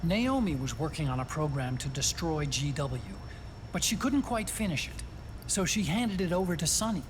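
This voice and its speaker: An actor playing an earnest, thoughtful scientist with an American accent, explaining things gently in a video game drama. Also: male voice, a little high, expressive, normal speed, noisy background, close to an everyday voice. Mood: reflective.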